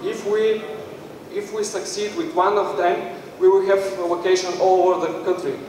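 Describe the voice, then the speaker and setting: Echoey high-voiced young Bulgarian man presenting. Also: fairly even intonation, moderate pace, a little mellow. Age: young adult. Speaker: startup team presenter. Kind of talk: live pitch presentation. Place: indoor event room with stage area. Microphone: handheld mic, recorded by far camera. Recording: very echoey hall, distant mic, faint noise.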